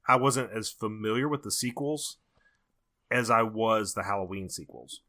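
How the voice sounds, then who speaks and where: Guy on a film podcast, bit low but bright punchy voice, casual and opinionated, repeats stuff to make his point. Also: moderate pace. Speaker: horror movie podcast co-host.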